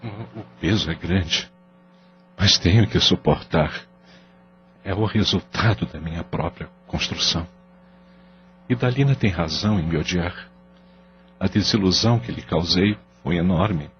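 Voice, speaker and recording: A man's voice: deep voice, slight background noise. A man playing a guilt-ridden unfaithful husband and father who speaks in a pleading, remorseful way. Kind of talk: audio drama acting. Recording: dull lo-fi audio with faint music.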